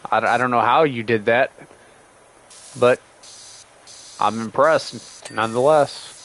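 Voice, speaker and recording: A man's voice: medium pitch, takes it slowly, fairly bright tone, slight background noise, rough recording. A man doing casual livestream game commentary, sarcastically grumbling and talking back to the game's characters, still getting over a cold with a lingering cough. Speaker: gaming streamer.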